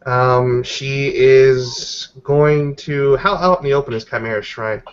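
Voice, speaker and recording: A man's voice: medium pitch, takes it slowly, clear, resonant tone. Dull-sounding man narrating tabletop roleplay. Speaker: player in a tabletop roleplaying game. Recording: dull, lo-fi voice recording.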